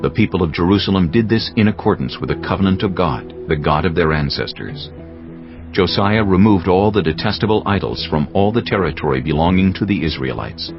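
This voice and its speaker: A man narrating dramatized scripture in a solemn, deliberate, scripted style. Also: low-pitched. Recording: slightly dull audio with music bed.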